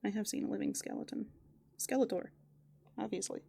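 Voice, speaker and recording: A woman doing improvised ASMR roleplay, softly and playfully musing aloud, slipping into breathy whispers close to the microphone. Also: medium pitch, huge pitch swings, fairly quick, slight background noise. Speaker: ASMR performer in a raccoon avatar persona.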